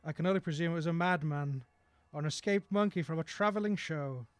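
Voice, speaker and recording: A male actor plays a kindly, slightly bumbling Victorian village doctor in a radio drama. His voice is fairly high and he speaks in a measured, reassuring way. The recording is dry and close. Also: clean tone, no echo, close to an everyday voice. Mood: reassuring, candid.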